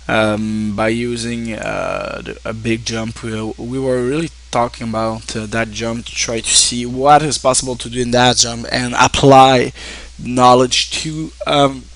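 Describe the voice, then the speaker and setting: A man from Quebec whose first language is French, speaking English with a Québécois French accent, talking casually to his viewers in a halting way with many fillers and restarts. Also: medium pitch, little pitch movement, fairly bright tone, slight background noise. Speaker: streamer. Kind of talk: casual thank-you talk to viewers.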